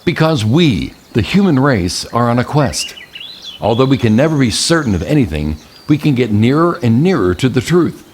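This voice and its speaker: An actor playing an older male mentor and army general in an audio drama, speaking in a measured, patient, enigmatic way. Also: medium pitch, sing-song, slight background noise, close to an everyday voice. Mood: patient, didactic.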